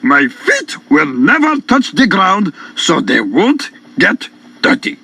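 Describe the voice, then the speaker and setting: A fussy storybook king, pompous and forever complaining. The voice is sharp and high, and it swoops dramatically up and down. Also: huge pitch swings, slow, breathy edge, heavily acted. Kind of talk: theatrical children's audio story acting.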